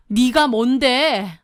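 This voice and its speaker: A woman's voice: fairly high pitch, dry recording, studio quality. A woman playing a hot-tempered betrayed wife in an audio drama, blunt, incredulous and indignant.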